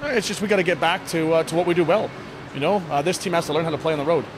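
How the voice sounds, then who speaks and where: A man in his thirties speaks quickly in a fairly high voice, with clear venue noise behind him. He is a coach talking candidly after a game. His delivery is plain and halting, doubling back on words. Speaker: ice hockey bench coach giving a post-game interview. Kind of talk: post-game interview, casual and candid. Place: indoor sports hall, blue block walls. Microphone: handheld interview microphone. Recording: noisy venue with background ambience.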